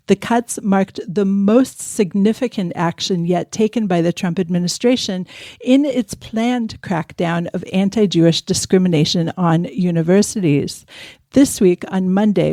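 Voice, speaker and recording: A woman hosts a news podcast with a mellow, warm tone and a measured, articulate delivery. Her voice sits close to the mic with a dry studio sound. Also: mid-range voice, dry recording. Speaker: podcast host.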